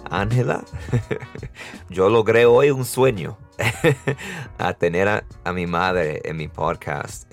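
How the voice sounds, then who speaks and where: Young Dominican-American male podcast host, low voice, easy laughs, soft music under. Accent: Dominican and American-tinged Spanish. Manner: warm, laughs often, restarts words. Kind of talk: casual interview and outro.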